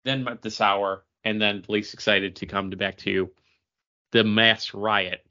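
Male podcast host, bright and punchy tone, rambling casual banter, lo-fi audio. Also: on the low side, steady tone, a little slow, clean tone.

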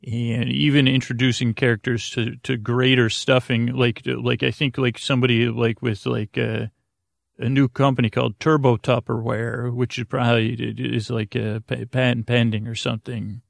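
A middle-aged man delivers a meandering bedtime monologue as a podcast host, halting often and looping back on himself. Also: medium pitch, little pitch movement, vocal fry, dry recording, clean studio sound. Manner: hesitant, halting, trails off and loops back.

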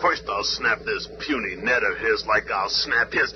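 An actor playing a big, hot-tempered monster hero with a belligerent, streetwise manner in a 1970s superhero radio drama. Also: male voice, lowish voice, a little slow, over loud music, lightly acted. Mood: defiant. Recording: dull, noisy old radio recording.

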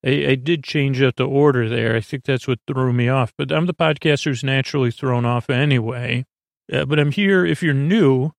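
Creaky-voiced man rambling softly toward bedtime. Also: mid-range voice, no room sound. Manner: meandering, halting, losing his thread; soothing, lulling. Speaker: podcast host. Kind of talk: rambling bedtime podcast monologue.

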